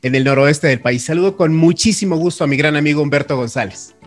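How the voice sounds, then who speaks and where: Fluent Mexican radio host, higher-pitched man. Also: fast talker, faint music behind. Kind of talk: radio show hosting.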